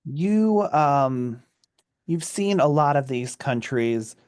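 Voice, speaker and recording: A man hosting a radio interview, asking questions in a halting way with restarts. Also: a little high, expressive, darkish tone, smooth, no echo, studio quality. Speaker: radio host. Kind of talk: interviewing a guest. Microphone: studio mic.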